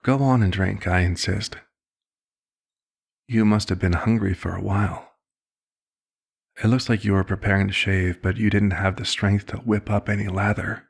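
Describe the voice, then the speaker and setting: A man playing a courteous elder vampire doctor in an ASMR roleplay, speaking formally and with measured, concerned authority, in a gravelly, husky voice. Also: fairly low pitch, fairly even intonation. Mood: gently insistent. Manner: formal, measured, polite wording. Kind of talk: ASMR roleplay, scripted one-sided dialogue.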